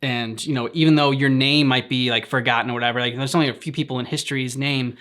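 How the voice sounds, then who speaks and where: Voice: mid-range voice, fairly even intonation, quick pace, a bit bright. A reaction show co-host chatting casually and reflectively, searching for words in a hesitant manner.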